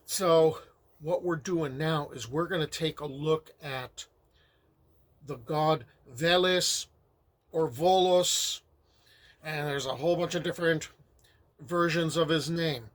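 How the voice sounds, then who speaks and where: Slow, rambling older man. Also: on the high side. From United States. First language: Ukrainian. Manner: rambling and conversational, with asides. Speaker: history storyteller. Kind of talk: casual spoken history talk.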